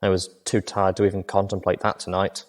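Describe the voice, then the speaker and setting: A man reading a fan fiction novel aloud as a first-person narrator, steady and even. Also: deep voice, a little fast. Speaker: audiobook reader.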